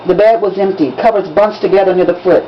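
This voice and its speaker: A woman, an author reading from a novel in an expressive, dramatic audiobook style with emphatic storytelling. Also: fairly low pitch, fast talker. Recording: dull lo-fi recording with background noise.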